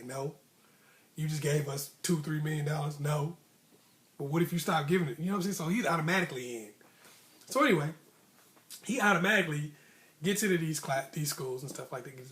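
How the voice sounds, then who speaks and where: A man telling a personal story in a casual, motivational way, with slangy, emphatic phrasing and repetition for emphasis. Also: fairly high pitch. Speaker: vlogger, storyteller.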